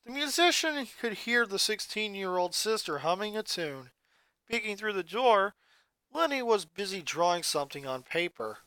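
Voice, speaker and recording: An expressive reader narrating a fan fiction story. The pitch moves a lot and the tone is bright. It is recorded close and dry. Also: moderate pace, no echo, low-quality recording.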